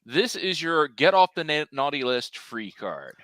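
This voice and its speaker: A man's voice: on the high side, sing-song, unhurried, a bit bright, no echo. A man chatting casually on a panel with wry, mock-solemn joking. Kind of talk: casual chat.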